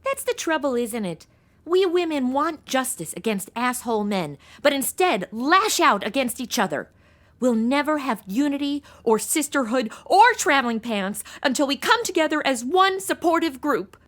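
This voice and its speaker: A woman's voice: high voice, very animated, moderate pace, a bit bright. A woman playing a sassy, irreverent, blunt young temp in a comedy audio drama, sarcastic and slangy.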